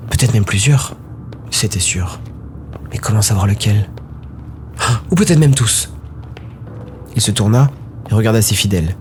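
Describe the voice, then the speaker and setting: A man's voice: fairly low pitch, noisy background. A man narrating a scripted story dramatically, in short, brooding, suspenseful phrases. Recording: music behind the voice.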